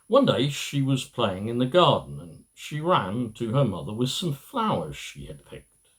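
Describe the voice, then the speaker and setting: A middle-aged man reading a children's storybook aloud, with expressive, deliberate phrasing and pauses. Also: mid-range voice. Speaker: amateur reader.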